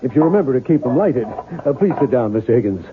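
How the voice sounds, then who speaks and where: An actor plays an earnest writer in an old radio play. He is a man of medium pitch, sincere and intense but unassuming. The sound is muffled, with light background noise. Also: animated, quick pace, close to an everyday voice. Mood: surprised, hospitable. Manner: earnest, slightly hesitant. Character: earnest male writer, intense yet modest and hospitable. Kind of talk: radio drama acting.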